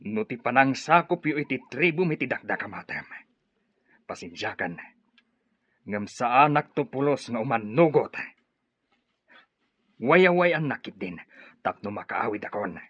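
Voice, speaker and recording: Proud captive leader, radio drama, Ilocano accent, forceful animated challenge, dull lo-fi recording. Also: male voice, mid-range voice, a little slow, long pauses, slightly rough, dry recording, exaggerated voice. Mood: firm, weary. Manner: indignant, challenging. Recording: muffled, band-limited radio drama recording.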